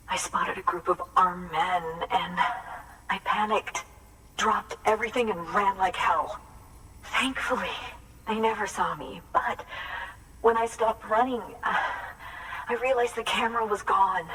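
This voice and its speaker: A woman's voice: mid-range voice, unhurried, pushed and bright, far from the mic, a little hiss or hum, rough recording. An actress playing an earnest, anxious photographer who carries on her late father's work, in naturalistic video game acting. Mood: anxious, pleading. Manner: earnest, hesitant, heartfelt storytelling.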